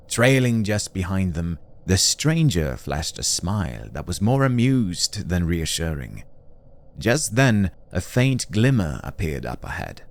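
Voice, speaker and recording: A man's voice: sits low, vocal fry. A man giving a scripted, dramatic narration of a story.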